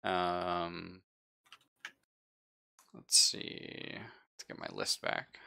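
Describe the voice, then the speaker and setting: A man running a tabletop roleplaying game, speaking in character with a measured, halting delivery. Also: low voice, very animated, vocal fry, sharp tone. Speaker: game master.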